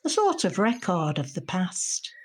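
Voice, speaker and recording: An elderly woman alone at home in an audio drama monologue. Her voice is fairly low and husky, and she speaks slowly. She sounds anxious and lonely, confiding her thoughts in a reflective way. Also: wildly swooping pitch, full character voice. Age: 70s. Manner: reflective, confiding, with rhetorical questions.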